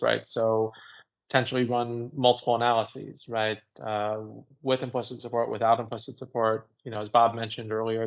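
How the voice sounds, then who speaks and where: Low, gravelly man giving measured advice. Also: muffled recording. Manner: measured advisory talk with frequent "you know" and tag questions. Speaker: tax specialist panelist. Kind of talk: expert panel discussion, unscripted.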